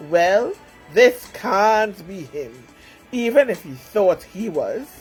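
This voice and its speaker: A woman storyteller reading a scripted children's story with expressive narration. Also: medium pitch, slow pace, faint music behind.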